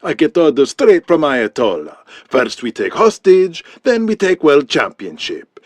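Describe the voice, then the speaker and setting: A brash heel wrestler bellows boasts and insults in broken English, his pitch leaping up and down as a man acts him out. The audio-drama voice is dry and close, with no room around it. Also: big pitch swings, unhurried, no room sound. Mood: ranting boastful. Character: boastful arrogant villain wrestler, man, adult.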